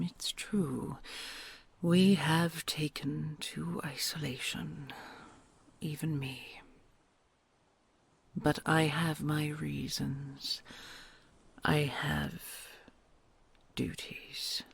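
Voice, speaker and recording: A woman voicing a kind, ancient queen dragon who whispers softly and gently, amused and patient, in an audio roleplay. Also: low voice, steady tone, full character voice. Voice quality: breathy whispery, gravelly. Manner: slow soothing, amused, patient.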